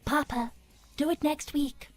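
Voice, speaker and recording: A woman voicing a solemn little girl android, a villain's devoted AI daughter, speaking in a measured, formal, earnest way on a livestream. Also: sits high, fairly even intonation, faint music behind. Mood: pleading, insistent. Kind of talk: improvised in-character livestream Q&A.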